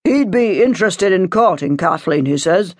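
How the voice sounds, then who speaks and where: A woman voicing a curt, domineering middle-aged father with a sharp, bossy tone in an audiobook story. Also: fairly low pitch, animated, normal speed, husky, no echo, clean studio sound, voice pushed up. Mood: firm.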